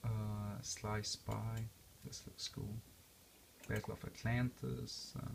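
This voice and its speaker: Young man with an accent, gravelly tone, relaxed chatty vlogger who rambles and restarts. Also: lowish voice, slow pace, noisy background. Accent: non-native English accent. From born and raised outside the US. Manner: rambling with many fillers and restarts. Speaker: vlogger reviewing a game console. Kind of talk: casual unscripted walkthrough.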